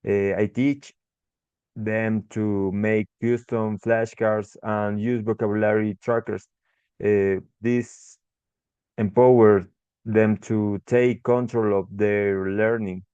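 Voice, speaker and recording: Slow halting Ecuadorian man. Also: fairly low pitch, flat delivery, warm tone, smooth, no echo, dull audio. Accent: Ecuadorian Spanish. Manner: halting, frequent restarts and fillers. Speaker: English tutor. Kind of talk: short class presentation.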